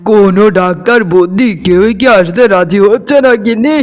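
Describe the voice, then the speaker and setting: A man's voice: high-pitched, brisk, soft background music, close to an everyday voice. A man voicing a rich husband in a melodramatic cartoon, speaking in an overwrought way and repeating words for emphasis. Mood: pleading, remorseful. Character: greedy, arrogant rich husband and younger brother. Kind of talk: melodramatic Bengali cartoon dialogue.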